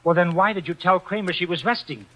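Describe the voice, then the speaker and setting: A man playing a wisecracking young detective's assistant in a 1940s radio drama, brisk and fast-talking. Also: high-pitched, bright, forceful tone, poor audio.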